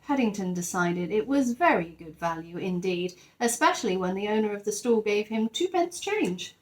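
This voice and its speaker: A woman's voice: medium pitch, expressive, normal speed, low-quality recording. A woman narrating a children's story expressively, like a teacher reading aloud to her class.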